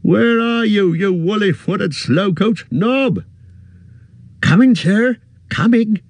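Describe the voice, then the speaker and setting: A man reading aloud and voicing a fat, bustling, forgetful middle-aged innkeeper in a high, flustered voice. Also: lively intonation, a little slow, no echo. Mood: harried. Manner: flustered, chattering, high-pitched.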